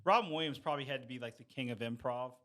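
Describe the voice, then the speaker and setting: Male, sharp bright tone, earnest conversational storyteller in a casual group discussion. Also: mid-range voice, very fast. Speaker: discussion panelist; teacher.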